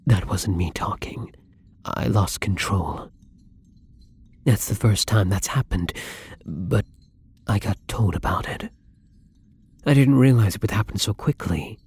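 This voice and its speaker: A man playing a newly turned young vampire in an intimate ASMR roleplay, apologetic and hungry, speaking softly and hesitantly with a husky, breathy voice that often drops to a whisper. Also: low voice, no room sound, full character voice. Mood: remorseful, tense.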